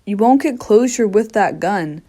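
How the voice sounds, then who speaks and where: An actress playing an anxious doctor in a radio play, earnest and pleading. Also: female voice, mid-range voice, animated, unhurried, dark tone. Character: anxious, reasoning doctor facing a gun. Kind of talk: dramatic radio play acting.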